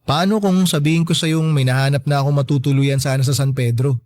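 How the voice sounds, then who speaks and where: A man acting a gentle, hesitant young boyfriend. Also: mid-range voice, steady tone, fairly quick, no echo, clean studio sound, lightly acted. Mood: eager, light. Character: young boyfriend, apologetic, shy, eager to please. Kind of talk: radio drama acting.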